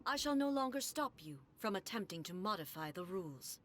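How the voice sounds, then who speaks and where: A woman's voice: mid-range voice, big pitch swings, slight background noise, lightly acted. A woman voicing a stern, cold puppet ruler. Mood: cold, measured. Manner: slow, measured, formal. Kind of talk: video game cutscene voice acting.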